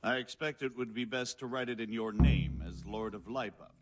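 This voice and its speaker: An actor playing a shrewd, diplomatic medieval margrave in a game cutscene, with formal, deliberate speech. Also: male voice, mid-range voice, fairly even intonation, background noise, close to an everyday voice. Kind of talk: video game cutscene acting.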